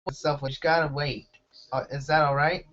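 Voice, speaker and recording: The recording is dull and lo-fi. A man with a bright, somewhat sharp voice reads a first-person story aloud. His delivery is amateur and halting, with stumbles over words. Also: fairly high pitch, fairly even intonation. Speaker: amateur fan fiction reader.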